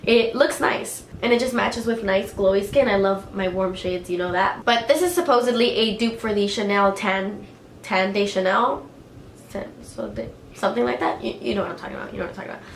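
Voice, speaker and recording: A woman's voice: mid-range voice, a little fast, vocal fry, a little hiss or hum. A woman with a head cold and a clogged nose, chatting casually about products she likes, with lots of filler words. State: congested with a cold. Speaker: beauty vlogger. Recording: echoey room, faint ambience.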